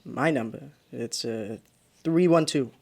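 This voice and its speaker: An actor playing a frightened, exhausted driver in a radio drama, halting and muttering to himself. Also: male voice, medium pitch, vocal fry, darkish tone. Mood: anxious, hopeful. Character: frightened, exhausted male driver haunted by a phantom. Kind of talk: radio drama monologue and dialogue.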